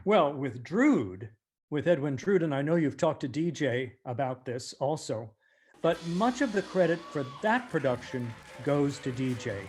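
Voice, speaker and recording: Higher-pitched man, emphatic interview guest. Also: expressive, unhurried, a little mellow, husky. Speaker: stage actor, guest. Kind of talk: reflective interview chat.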